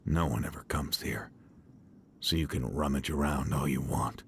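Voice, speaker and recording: An actor playing a gentle, weary old man who speaks softly and kindly in a fantasy game. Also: a bass voice, steady tone, creaky, slight background noise. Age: older. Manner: slow, soft-spoken, kindly. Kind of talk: game voice acting.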